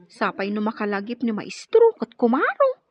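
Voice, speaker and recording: A woman's voice: a little high, wildly swooping pitch, moderate pace, dry recording. A woman with an Ilocano accent playing a chirpy young schoolmate in a radio drama, on a dull lo-fi recording. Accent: Filipino, Ilocano. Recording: dull lo-fi, music behind.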